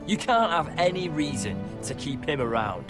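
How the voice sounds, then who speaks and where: Proud noble soldier, resolute, loyal, fantasy game drama, music playing behind. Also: male voice, on the high side, sing-song, normal speed. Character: proud young noble commander, loyal and indignant. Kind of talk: dramatic video game acting.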